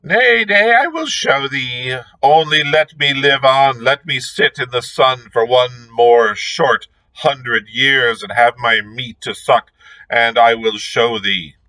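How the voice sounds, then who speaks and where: Ancient witch woman, shrill falsetto cackle, cruel and defiant, storybook reading, a man puts her on; slow and swooping. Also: animated, sharp tone, dry recording, low-quality recording. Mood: pleading.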